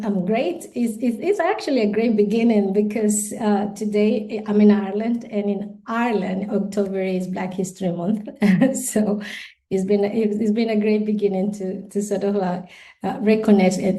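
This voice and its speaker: A woman's voice: mid-range voice, normal speed, warm tone. A woman from Colombia whose first language is Spanish, speaking as a guest in a podcast interview, thoughtful and full of restarts. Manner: thoughtful, many restarts and repeated words, light laughs.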